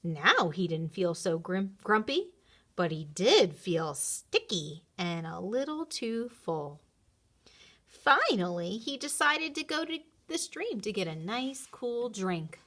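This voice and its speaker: A woman narrating a children's story in an expressive read-aloud style. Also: medium pitch, slow.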